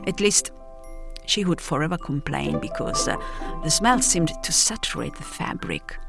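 A woman reading a literary first-person travel story, reflective and dramatic, with pauses between phrases. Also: low voice, huge pitch swings, vocal fry, music in the background. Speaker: narrator.

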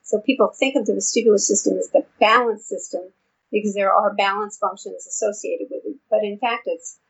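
An older woman with a New York accent, explaining her research in a relaxed interview with frequent ums. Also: medium pitch, fairly quick, creaky, husky. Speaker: vestibular researcher and therapist. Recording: dull lo-fi audio.

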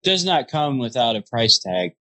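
A man's voice: medium pitch, very animated, normal speed, sharp tone. A man in his thirties, co-hosting a casual podcast and throwing in dry jokes. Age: 30s. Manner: joking, wisecracking. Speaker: podcast co-host. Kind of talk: casual chat.

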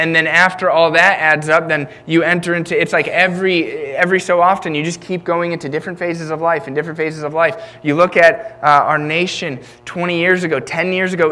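A man's voice: fairly high pitch, bright, forceful tone. A man in his late twenties preaching a sermon in an earnest, conversational way, often restarting his sentences. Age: 20s.

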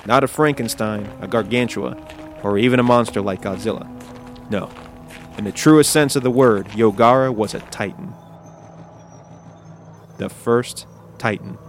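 An actor playing a thoughtful, fascinated scientist in an audio drama, speaking in a measured, portentous way. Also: male voice, fairly low pitch, soft background music. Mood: fascinated, ominous. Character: thoughtful male monster scientist, grave and fascinated.

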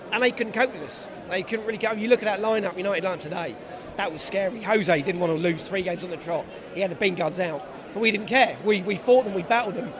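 A hoarse man chatting about football outdoors, confident and upbeat. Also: high-pitched. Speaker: guest fan. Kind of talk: casual football chat. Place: outdoors by a stadium. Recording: crowd noise behind.

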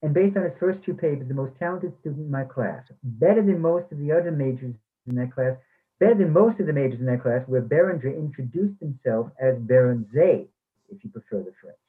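A man's voice: mid-range voice, expressive, a little fast, lightly acted. A man reading the part of a formal, defensive former English instructor in a play. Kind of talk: readers theatre play reading. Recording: thin, phone-like sound.

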